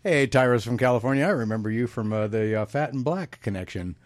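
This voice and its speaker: A man hosts a live call-in show from a radio studio. His voice sits at a middle pitch. He sounds upbeat and chatty, laughs easily, and keeps the calls moving. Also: fast talker, no echo. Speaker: radio host.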